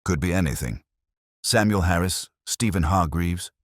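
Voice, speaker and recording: A man voicing a gruff, sceptical police inspector in a detective audiobook, blunt and grudging. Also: low-pitched, moderate pace, dry recording, studio quality, voice pushed up.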